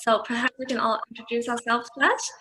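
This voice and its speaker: A woman's voice: on the high side, fairly even intonation, a little fast, background noise. A young woman, a final-year student, moderating a student sharing session on a video call with many ums. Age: 20s. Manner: friendly, filler-heavy.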